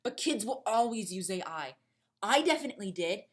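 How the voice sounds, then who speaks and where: A woman's voice: medium pitch, big pitch swings, moderate pace, close to an everyday voice. A woman voicing an earnest, uneasy young male teacher who pleads his case in an audio drama. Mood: pleading, insistent.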